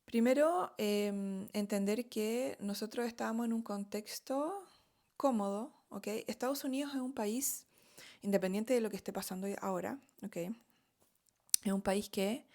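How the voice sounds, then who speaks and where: A woman's voice: medium pitch, darkish tone. Chatty Chilean woman, candid storytime. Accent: Chilean Spanish. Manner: chatty and candid, frequent fillers and "¿ok?" checks, laughs often. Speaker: vlogger telling her story. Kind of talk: casual storytime monologue.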